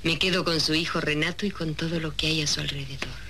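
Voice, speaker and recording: An actress playing an ambitious young woman in a 1970s soap opera, speaking in a deliberate, dramatic way. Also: low-pitched, steady tone. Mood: cold, calculating. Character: ambitious, cold young woman from a humble neighbourhood. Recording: noisy old 1970s TV soundtrack.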